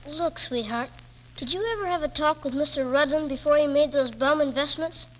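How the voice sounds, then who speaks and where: A woman's voice: sits high, moderate pace, close to an everyday voice. A woman playing a scrappy, loyal young newsboy with slangy, streetwise talk in a 1940s radio drama. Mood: earnest, chatty. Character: scrappy, loyal young boy selling newspapers. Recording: noisy old radio broadcast, hall reverb.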